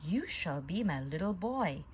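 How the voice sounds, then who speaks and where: A fatherly old wood carver from a storybook, acted out by a woman. He scolds at an unhurried pace with expressive swings in pitch. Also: slight background noise. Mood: fatherly, stern.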